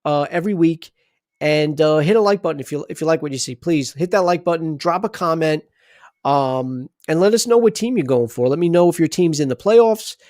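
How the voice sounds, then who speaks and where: A chatty man hosts a sports livestream and rambles through his sign-off. His voice sits a little high for a man. The sound is dry and close. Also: fairly quick, a little mellow, no echo. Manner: rambling, chatty, many restarts. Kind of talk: casual sports livestream talk.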